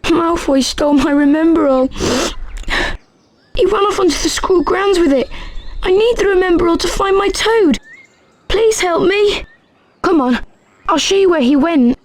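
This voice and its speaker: A woman's voice: very high voice, measured pace, pauses often. A woman playing an anxious, timid, forgetful young schoolboy in a video game. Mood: upset, pleading. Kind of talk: video game character acting.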